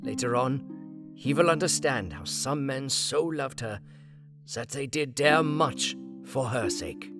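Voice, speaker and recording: An actor playing a wise, tender older professor in an audio drama, speaking deliberately and earnestly. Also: male voice, mid-range voice, over music.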